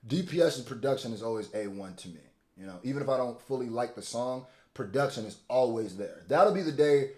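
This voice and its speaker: A young man, a rapper, talking casually as he reacts to a song, repeating words as he restarts and now and then bursting out loud. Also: medium pitch. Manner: loose and chatty, repeats words when restarting, sudden loud outbursts.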